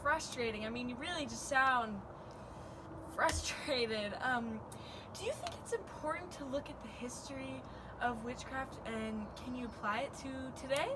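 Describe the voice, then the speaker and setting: Woman doing a loud, showy interviewer, very noisy recording. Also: high voice, unhurried. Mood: probing. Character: loud, excitable talk show host interviewing a witch. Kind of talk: mock interview in one-woman show. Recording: very noisy, distant-sounding home recording.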